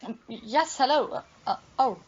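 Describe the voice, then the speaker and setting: A muffled audio-play recording of a woman acting a bitter young jilted woman. Her highish voice keeps up a brittle politeness over obsession. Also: animated, normal speed, breathy edge. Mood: guarded, polite. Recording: dull, muffled lo-fi.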